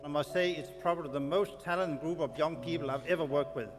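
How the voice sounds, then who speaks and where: Higher-voiced man speaking in echoing hall. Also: fast talker. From Denmark. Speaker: event speaker. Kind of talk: speech addressed to participants. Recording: echoing hall, music laid over. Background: music.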